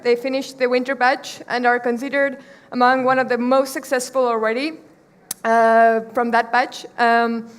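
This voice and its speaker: Woman emcee, brisk upbeat intro, high voice. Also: a bit bright, smooth. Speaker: event host. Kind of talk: stage introduction. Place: conference stage.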